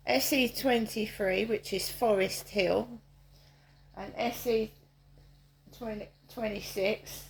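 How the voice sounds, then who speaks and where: A middle-aged woman chatting casually in a vlog, meandering slowly with many ums and restarts. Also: mid-range voice, creaky, roomy sound, slight background noise, rough recording. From South East London. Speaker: vlogger. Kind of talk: casual rambling vlog monologue. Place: outdoors on a walk with a dog.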